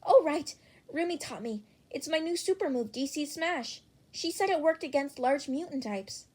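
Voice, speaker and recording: A woman's voice: sits high, animated, moderate pace, a little mellow, pitched above the performer's own voice. A woman voicing a polite, earnest teenage boy superhero in training for an audiobook. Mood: bright, earnest. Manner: courteous, earnest.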